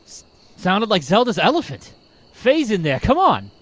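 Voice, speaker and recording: Man playing animated earnest circus man, high voice. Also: normal speed, slight background noise. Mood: urgent. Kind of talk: 1940s radio serial acting.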